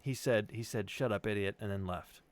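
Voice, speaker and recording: A man's voice: fairly low pitch, a little fast, no echo. A man in a casual livestream chat, joking around in a playful, wisecracking, sweary way. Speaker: voice actor in an audio series. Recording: noticeable noise, clicks.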